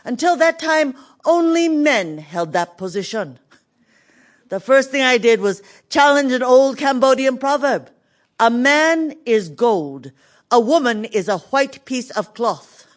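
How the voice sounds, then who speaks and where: An actress playing a dignified, resolute Cambodian government minister in a dramatic radio theatre monologue. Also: female voice, high-pitched, lively intonation, slow pace. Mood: firm, indignant. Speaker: actress in an audio play.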